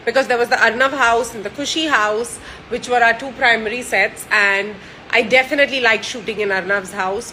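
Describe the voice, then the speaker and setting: A woman's voice: on the high side, a little hiss or hum, low-quality recording. A woman in her thirties from India, chatting cheerfully with frequent fillers and restarts while she answers questions. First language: Gujarati or French, not Hindi. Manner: warm and cheerful. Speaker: actress answering fan questions. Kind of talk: casual chat.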